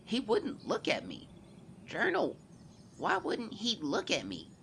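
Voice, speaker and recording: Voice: medium pitch, huge pitch swings, takes it slowly, vocal fry, fairly bright tone, a little hiss or hum, heavily acted. An actor voicing a young boy who earnestly narrates events into his journal in a scripted audio drama. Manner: earnest, confiding, storytelling.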